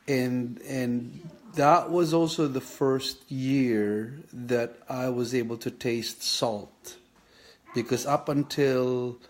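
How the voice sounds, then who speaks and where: A man, recalling his childhood in a reflective personal vlog, thinking aloud with many pauses and restarts. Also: mid-range voice, a little mellow. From Philippines. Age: 50s. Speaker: vlogger sharing his kidney story.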